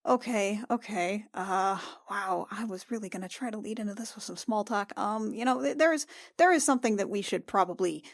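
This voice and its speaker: A woman playing a tabletop game, improvising casually in character with a halting, flustered, awkward manner. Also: medium pitch, no echo, clean studio sound.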